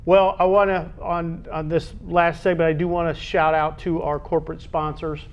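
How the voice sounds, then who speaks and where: An American man co-hosting a local school sports show, talking conversationally and haltingly, often repeating words as he goes. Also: level tone. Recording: dull lo-fi audio with low rumbling noise.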